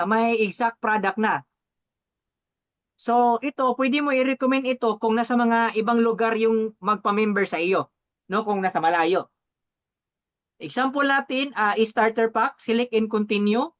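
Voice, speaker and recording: Very high-voiced, slow-talking male coach, muffled audio. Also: fairly bright tone. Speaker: coach and presenter. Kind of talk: step-by-step live tutorial.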